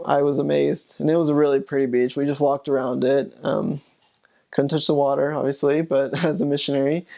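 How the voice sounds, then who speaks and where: Gravelly, flat-voiced young man. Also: mid-range voice, highs cut off. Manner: rambling, with many fillers and restarts. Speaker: returned missionary. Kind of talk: casual recollection.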